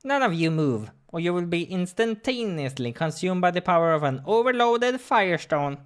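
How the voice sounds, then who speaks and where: A man's voice: sits high, very animated, moderate pace, clean tone, voice pushed up. A man voicing a bitter, weary, scheming furry villain in a visual novel, commanding and scornful. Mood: threatening.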